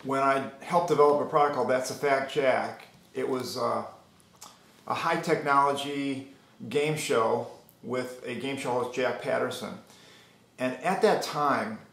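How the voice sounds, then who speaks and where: A man's voice: mid-range voice, measured pace, vocal fry, a bit bright. A man presenting a reading program in an explanatory, anecdotal instructional talk. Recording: echoey room.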